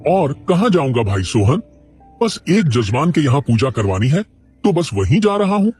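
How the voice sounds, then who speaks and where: A man voicing a village priest in a cartoon who speaks in a proper, expressive way. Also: fairly high pitch, moderate pace. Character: proud middle-aged male village priest. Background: faint music.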